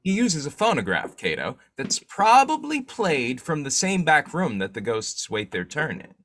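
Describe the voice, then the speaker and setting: A man playing a shrewd, determined newspaper boss and secret vigilante in a 1940s-style radio drama, musing deliberately. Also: a little high, wildly swooping pitch, fairly bright tone, a little hiss or hum, exaggerated voice. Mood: scheming, musing. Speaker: actor in an old-time radio drama revival.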